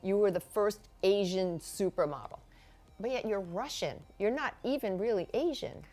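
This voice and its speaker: A woman's voice: medium pitch, normal speed, a little mellow. A woman hosting a casual interview, chatty and prompting, often finishing her guest's sentences. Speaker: host.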